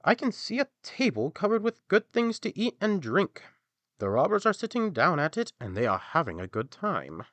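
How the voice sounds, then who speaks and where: A man's voice: fairly high pitch, wildly swooping pitch, moderate pace, fairly bright tone, no room sound, voice pushed up. A man playing an old gray donkey in a fairy tale, eager and emphatic. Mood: eager, excited. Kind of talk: storybook character voice.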